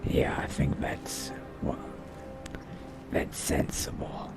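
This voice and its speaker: A man voicing a brooding, hungry vampire mage in a slow, halting, low gravelly whisper, musing to himself in a video game roleplay. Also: over loud music, natural voice. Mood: craving, restrained.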